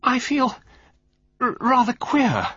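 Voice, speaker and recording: A man's voice: a little high, huge pitch swings, a little slow, creaky, a bit bright, breathy edge, no room sound, pitched above the performer's own voice, exaggerated voice. A man voicing a gushing society wife. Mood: gasping. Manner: gushing, breathless. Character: glamorous, dramatic society wife, young woman. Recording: dull lo-fi recording.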